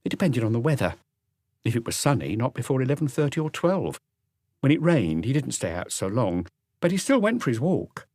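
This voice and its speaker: A man's voice: mid-range voice, big pitch swings, fairly quick, a little mellow, no echo, clean studio sound, voice pushed up. A man voicing a young, affable female concierge in an audiobook, polite, chatty and matter-of-fact. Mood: recollecting, helpful. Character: young, affable woman concierge, helpful and soft-spoken. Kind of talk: audiobook character dialogue.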